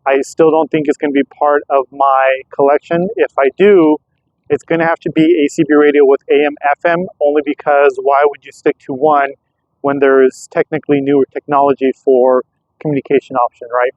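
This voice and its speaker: A man's voice: a little high, little pitch movement, fairly quick, slight background noise. A man talking casually as a vlogger during a field test of radio gear, in slightly muffled audio.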